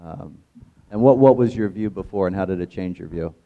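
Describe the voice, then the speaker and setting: A man moderating an interview in a halting, searching conversational way, on a dull, lo-fi recording. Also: sits low.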